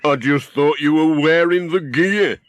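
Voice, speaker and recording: A man's voice: a little high, measured pace, dry recording, pitched above the performer's own voice, lightly acted. A man voicing a genial, good-humoured handyman neighbour in a storybook reading, easygoing and teasing.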